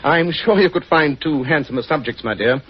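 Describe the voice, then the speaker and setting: A man playing a keen, composed gentleman detective with a British accent, speaking precisely and formally in 1940s radio style. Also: fairly high pitch, moderate pace, slight background noise, natural voice. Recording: dull, muffled 1940s radio recording.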